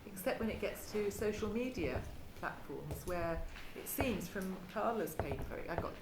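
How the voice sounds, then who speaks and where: A woman's voice: mid-range voice, lively intonation, moderate pace. A woman chairing an academic panel discussion, speaking hesitantly and restarting phrases. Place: conference room. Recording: echoey hall with background noise.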